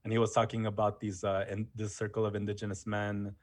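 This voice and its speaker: A history teacher talking casually as an interview guest, full of "you know" and "um" fillers. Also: male voice, on the low side, monotone, fast. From United States. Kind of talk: casual conversation.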